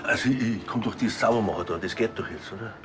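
An actor playing a grumbling, flustered sports-ground caretaker with a Bavarian accent and a halting manner. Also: male voice, lowish voice, over music, natural voice. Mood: flustered, subdued.

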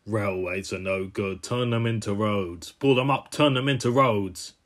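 A man's voice: lowish voice, measured pace, close to an everyday voice. A man playing a belligerent, boastful steamroller in a children's cartoon, blustering and combative. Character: belligerent, boastful male steamroller, bullying and obsessive. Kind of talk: amateur cartoon character voice reel.

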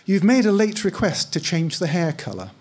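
A man reads the part of a female county official in a short story. The delivery is husky and polite, with scripted customer-service sympathy and an officious edge. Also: high voice, very animated, normal speed, darkish tone, pitched above the performer's own voice. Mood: brisk, businesslike.

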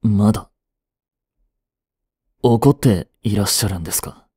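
A man's voice: fairly low pitch, measured pace, warm tone, slightly rough. A young man playing a stern, overprotective butler who speaks formally and earnestly, often sighing. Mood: scolding. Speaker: voice actor. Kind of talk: Japanese voice drama acting.

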